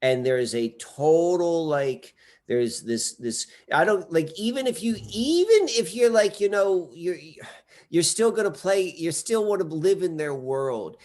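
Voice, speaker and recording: Man in his 40s, podcast guest, rambling, lots of fillers, fairly high-pitched. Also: expressive, unhurried, a little mellow. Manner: rambling, full of fillers and restarts. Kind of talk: casual conversation.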